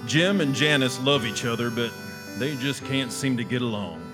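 A man acting as a folksy cowboy show host with a mid-pitched voice. He delivers silly scripted comedy deadpan, with plucked guitar music behind him. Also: moderate pace, natural voice. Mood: folksy, easygoing.

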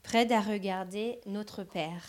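Woman translating a sermon live, slow, stops and restarts a bit. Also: mid-range voice. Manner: translating phrase by phrase with hesitations. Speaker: interpreter.

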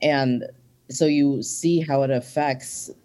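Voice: medium pitch, fairly even intonation, takes it slowly, warm tone. Earnest, reflective guest from Minnesota. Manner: earnest and reflective, with frequent ums. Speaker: interview guest. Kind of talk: casual interview conversation.

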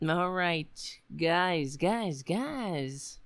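A woman hosting a casual political talk show, rambling and sarcastic, hoarse because she is losing her voice. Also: on the low side, expressive, very slow, no room sound.

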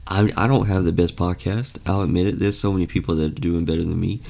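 A man's voice: low-pitched, steady tone, quick pace, gravelly, a little mellow. A young man in his late teens hosting a podcast, talking earnestly and casually with lots of fillers and restarts. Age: young adult. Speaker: podcast host. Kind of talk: casual motivational podcast talk.

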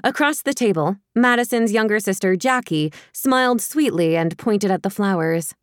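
A woman narrates a romantic comedy novel in a medium-pitched voice. Her phrasing is expressive and wry, with a dramatic touch. The sound is very dry, with no room on it. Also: normal speed, clean tone, no echo. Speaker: audiobook narrator.

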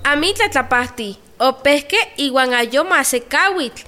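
A woman's voice: high voice, expressive, takes it slowly, pushed and bright, heavily acted. An actress playing an anxious village mother asking questions in a scripted radio drama. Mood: worried, questioning.

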